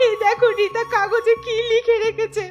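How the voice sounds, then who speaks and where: A woman acts out a grieving village mother in a cartoon melodrama. Her voice is extremely high and tearful, with music clearly audible behind it. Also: little pitch movement, normal speed, lo-fi sound. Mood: anguished, tearful.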